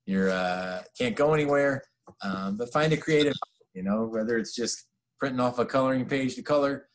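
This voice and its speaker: A man's voice: mid-range voice, normal speed. A middle-aged man speaks as the host of a children's story show. His talk is casual and addressed straight to the viewers, recorded indoors on a webcam a short way off.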